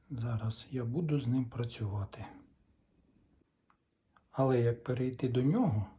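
A man giving a casual hands-on repair walkthrough, halting and thinking aloud in short phrases. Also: mid-range voice, dark tone, highs cut off, slight background noise. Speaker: hobbyist repairer giving a demonstration.

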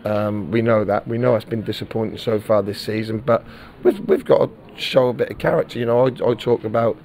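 A man's voice: on the low side, fairly quick, a little mellow. A middle-aged man, a football manager giving a frank post-match interview and often repeating words as he searches for them.

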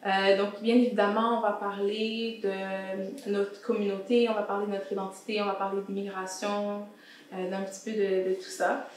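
A woman's voice: medium pitch, level tone, normal speed, slight background noise. A young woman hosting a casual round-table discussion, guiding her guests with questions. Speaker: host and founder of a round-table show. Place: indoor salon with brick walls. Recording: echoey room.

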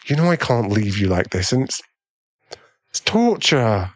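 Man acting a slow-talking, pleading doting boyfriend. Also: on the low side, big pitch swings, no echo. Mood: anxious, pleading. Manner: pleading, halting, breathy sighs. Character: doting, easily swayed millionaire boyfriend. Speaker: ASMR roleplay voice actor. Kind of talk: ASMR boyfriend roleplay.

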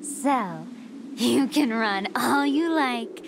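High, gleefully menacing android villainess. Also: female voice, creaky, noisy background, heavily acted. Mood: annoyed, menacing. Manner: theatrical, taunting, drawn-out delivery. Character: sweet-toothed, gleefully menacing female android villain, playful and cruel. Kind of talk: anime fighting game voice acting.

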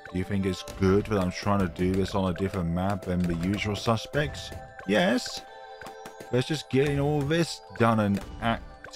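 Low-voiced man with a croaky cold. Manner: chatty, self-mocking asides. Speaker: gaming channel host. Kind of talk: casual gameplay commentary.

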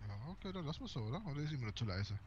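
A man's voice: medium pitch, very animated, rapid-fire, a little mellow. A man in casual, joking gaming chat over online voice chat. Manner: joking, teasing. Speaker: game streamer. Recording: muffled voice chat with clear background noise.

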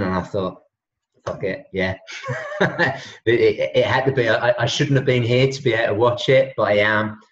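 A man in his fifties, a health and wellness coach, chatting casually on a video call from a room at home, with many fillers and restarts. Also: on the low side. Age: 50s. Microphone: laptop webcam on a video call. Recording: dull lo-fi video call audio.

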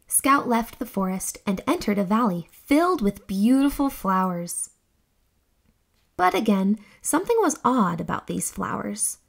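A young woman hosting a children's read-aloud, reading a storybook in an expressive, deliberate, exclamatory way. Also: medium pitch, vocal fry.

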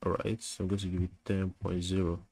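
A man's voice: low-pitched, monotone, fast talker, mellow, dry recording. A man giving a screen-recorded coding tutorial, thinking aloud as he types, with fillers and self-corrections.

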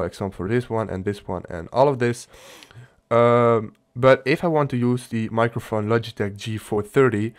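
A man hosts a casual how-to on software in a somewhat low-pitched voice. He explains things one step at a time, with a halting, unscripted delivery. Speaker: tutorial host. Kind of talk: casual software tutorial narration.

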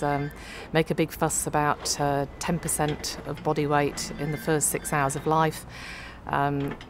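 A woman's voice: low voice, a little hiss or hum. A middle-aged woman who works as a calf nutrition specialist, explaining practical advice to an off-camera interviewer, with frequent ums, in an indoor farm feed store. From United Kingdom.

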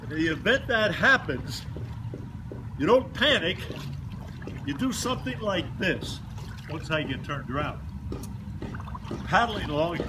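A man in his seventies explaining kayak rolls slowly and with gentle humor, speaking outdoors on open water and picked up by a distant camera. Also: on the high side, big pitch swings, background noise. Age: 70s. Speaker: kayak instructor demonstrating rolls. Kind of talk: casual demonstration narration.

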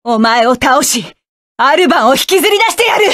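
Game VO: vengeful young female pilot, high-pitched, clipped commands, dry studio read. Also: sing-song, fairly quick, a bit bright, no room sound. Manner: commanding, clipped battle calls. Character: fierce young woman mecha pilot, vengeful and resolute. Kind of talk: video game combat voice lines.

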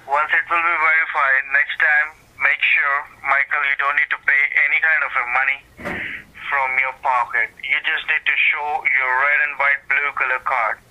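A man's voice: a little high, flat delivery, moderate pace, pushed and bright, dull audio. A man calling about health insurance, speaking in broken, ungrammatical phrases and repeating back what he hears. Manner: repeats the other person's words back. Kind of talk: phone call.

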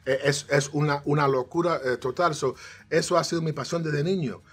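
Husky middle-aged man, animated studio guest. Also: a little high, moderate pace. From United States, of Cuban parents. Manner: animated, gestures a lot, frequent restarts and English "so". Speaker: guest, financial strategist. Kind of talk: casual interview talk. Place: TV studio set, seated on armchair.

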